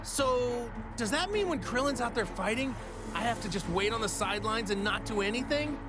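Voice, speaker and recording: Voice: medium pitch, lively intonation, moderate pace, close to an everyday voice. An actor voicing a cheerful, naive martial arts hero in an anime video game, talking casually over music. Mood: casual, curious. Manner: naive, casual, good-natured. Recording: music behind the voice.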